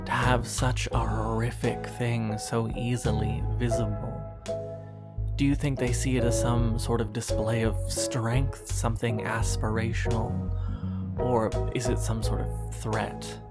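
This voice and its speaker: A performer playing a wistful, brooding narrator who addresses a former lover in slow, poetic, deliberate horror-drama narration. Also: mid-range voice, flat delivery, over loud music, lightly acted. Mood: ominous, measured. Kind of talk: scripted second-person horror audio drama narration.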